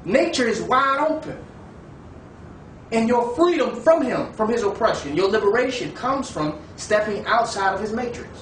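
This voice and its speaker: A man's voice: sits high, animated, moderate pace. A man arguing a point in an impassioned, preachy way, often asking if the listener understands. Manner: preachy, rhetorical, asks "you understand?". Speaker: discussion participant. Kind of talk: impassioned debate. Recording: echoey room, far from the mic, with noise.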